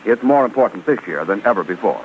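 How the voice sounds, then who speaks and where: A man's voice: on the low side, lively intonation, fast talker, heavy background noise. A man reading a folksy, earnest 1940s radio announcement. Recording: muffled 1940s radio broadcast.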